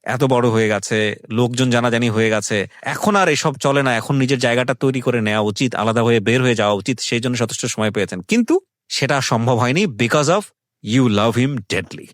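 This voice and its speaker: A man's voice: mid-range voice, normal speed, dry recording, studio quality. A man with a Bangladeshi accent hosting a radio interview, commenting emphatically, repeating phrases for stress and mixing in English phrases. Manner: mixes English phrases into Bengali. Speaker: radio show host. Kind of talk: conversational interviewing with reflective social commentary. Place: radio studio.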